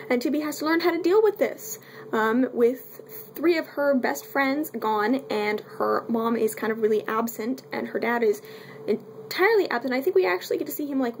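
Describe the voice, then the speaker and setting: Woman, high creaky voice, casual book review, candid, irreverent, wry asides, faint background noise.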